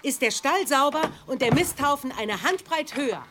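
A hard-working peasant mother in a radio play. She is stern and practical, with lively, varied pitch over clearly audible background noise. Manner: brisk, no-nonsense. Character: stern, practical farm mother who keeps everyone working. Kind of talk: German audio drama acting.

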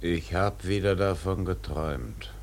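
A man playing a brooding, obsessed old whaling captain in a radio drama, with gravelly, weighty speech. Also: deep voice, unhurried, a little hiss or hum. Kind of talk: German radio play acting.

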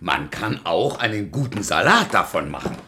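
A man's voice: on the low side, sing-song, normal speed, bright, forceful tone, a little hiss or hum. A man playing a servile, cowardly royal huntsman in a radio fairy tale, with an obsequious, theatrical manner. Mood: falsely friendly. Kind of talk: radio play acting for a children's fairy tale.

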